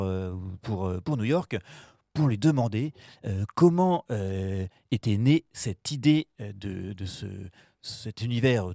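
Hesitant low-voiced male host. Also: warm tone, no room sound, clean studio sound. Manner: hesitant, many fillers. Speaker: show host. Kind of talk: interview.

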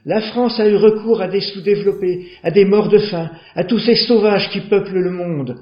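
A man voicing a young caravan-dwelling neighbour who turns into an accusing conscience, high-pitched and declaiming. The sound is echoey and dull, with faint music under it. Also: normal speed, close to an everyday voice. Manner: accusing, declamatory moral speech.

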